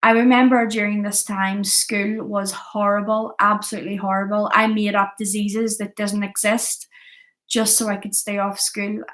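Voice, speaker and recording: Woman, thirties, Northern Irish Belfast accent, medium pitch, earnest, confessional personal storytelling. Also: fairly bright tone. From Belfast, Northern Ireland. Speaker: vlogger sharing a personal addiction story.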